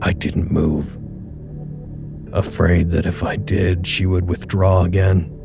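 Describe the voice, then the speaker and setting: An actor in an audio drama monologue playing a grieving, weary facility staffer, subdued, halting and confessional. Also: male voice, low-pitched, little pitch movement, creaky, over music, heavily acted. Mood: somber, grieving. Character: grieving, weary male facility staffer broadcasting a personal log.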